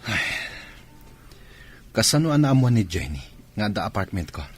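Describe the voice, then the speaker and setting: An actor playing a gruff, evasive, two-timing soldier husband in an Ilocano radio drama, defensive and insistent. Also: male voice, fairly low pitch, very animated, moderate pace, soft background music. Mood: worried.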